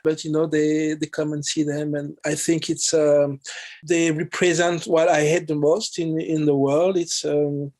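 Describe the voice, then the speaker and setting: A man from France with a French accent, chatting casually as an interview guest and often pausing to search for words. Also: a little high, little pitch movement, a little mellow. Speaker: guest musician.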